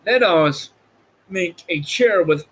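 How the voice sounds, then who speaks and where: A man's voice: high-pitched, expressive, slow, fairly bright tone, breathy edge, voice pushed up, full character voice, low-quality recording. A man voicing an earnest, helpful scarecrow in a storybook reading. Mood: urgent.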